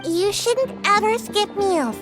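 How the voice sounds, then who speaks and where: A woman's voice: very high voice, lively intonation, slow, exaggerated voice. A woman voicing a small, friendly, caring childlike companion in a video game. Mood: friendly concern. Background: music.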